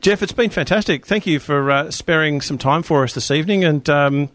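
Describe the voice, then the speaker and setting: A man's voice: on the high side, a little fast, fairly bright tone, no echo. A man hosting a tech radio show, chatty and upbeat with lots of fillers. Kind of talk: casual interview chat.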